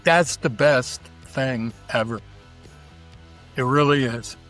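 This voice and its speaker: A man's voice: medium pitch, takes it slowly, fairly bright tone, faint music behind. A man chatting casually as an interview guest, with a folksy, colloquial, enthusiastic manner. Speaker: interview guest, barbecue cook.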